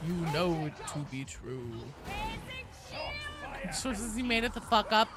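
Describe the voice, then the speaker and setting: A woman's voice: mid-range voice, very animated, slow, a crowd in the background. A woman livestreaming games, chatting casually and reading out viewer comments in a joking, teasing, sweary way. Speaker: game streamer.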